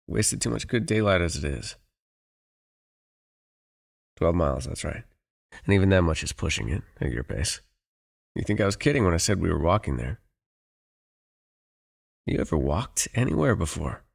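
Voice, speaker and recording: A man playing a gruff, teasing, easily exasperated guide for hire in an ASMR audio roleplay, speaking straight to the listener in a dry, folksy way. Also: big pitch swings, moderate pace, pauses often, heavily acted. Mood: brisk, businesslike. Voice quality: low, gravelly, husky. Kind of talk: ASMR audio roleplay, one-sided dialogue addressed to the listener.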